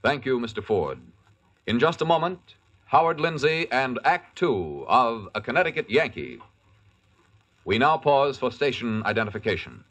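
Animated vintage radio announcer man. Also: mid-range voice, normal speed. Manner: formal. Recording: vintage 1940s radio broadcast.

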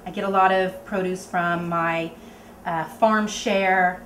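A woman's voice: on the low side, fairly even intonation, slow, a bit bright. A woman in her thirties from Oklahoma, cheerfully talking a home cooking demo to camera in her kitchen. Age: 30s. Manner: chatty, bubbly, explains as she cooks. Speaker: home cook auditioning for a cooking show. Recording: echoey kitchen with faint room noise.

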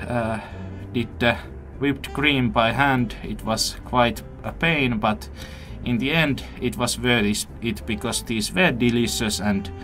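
A young man whose first language is Finnish, narrating his day as a student news host, with relaxed pauses and fillers. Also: mid-range voice, soft background music. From Finland. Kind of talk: casual vlog narration and news hosting.

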